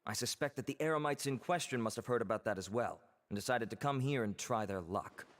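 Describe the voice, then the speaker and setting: An actor playing a confident, formal male official in a video game, measured, articulate and reassuring. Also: mid-range voice, long reverb tail, lightly acted. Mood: explanatory.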